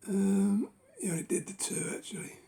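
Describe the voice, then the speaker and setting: A man with a hoarse, gravelly voice that keeps dropping to a half-whisper, musing unhurriedly over his book collection with many ums and rights. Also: sits high, normal speed, warm tone. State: losing his voice. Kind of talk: casual collection walkthrough.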